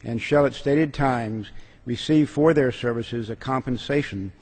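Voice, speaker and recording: A man's voice: medium pitch, a little slow, darkish tone, breathy edge. A man reads a legal text aloud formally, as a legislator. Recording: reverberant large legislative chamber.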